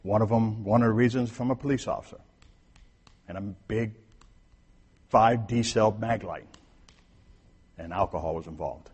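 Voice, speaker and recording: A husky, fairly low-voiced man preaches to his church. His sermon is conversational, dropping to near-quiet asides and rising to emphatic points. A long reverb hangs in the sanctuary behind him. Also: moderate pace, long pauses. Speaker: pastor.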